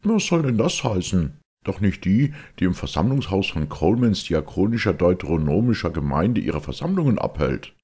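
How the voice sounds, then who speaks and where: A man acts out a pious old Quaker sea captain for an audiobook. He is stern and suspicious, with a raised, swooping pitch and a gravelly edge. He questions people in deep earnest. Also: very animated, normal speed, few pauses, a little mellow, full character voice. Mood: suspicious, scolding. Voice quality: hollow. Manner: solemn, deadly earnest, interrogating.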